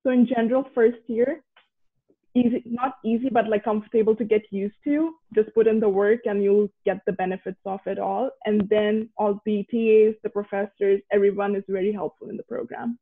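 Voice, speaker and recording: A man's voice: high-pitched, fairly even intonation, normal speed. A young man from India, a university student, answering earnestly on a video call. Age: young adult. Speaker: student panelist. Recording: tinny phone-like call audio.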